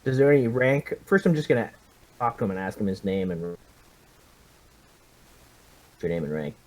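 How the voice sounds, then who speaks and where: A man's voice: lowish voice, big pitch swings, normal speed, a little mellow, low-quality recording. A man playing a tabletop roleplaying game, casually describing his character's actions in a hesitant, thinking-aloud way.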